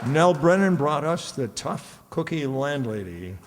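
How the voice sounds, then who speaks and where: A man's voice: fairly high pitch, sing-song, measured pace, a crowd in the background. Male announcer in a noisy live hall. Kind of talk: reading cast credits to an audience. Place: reverberant hall before a live audience.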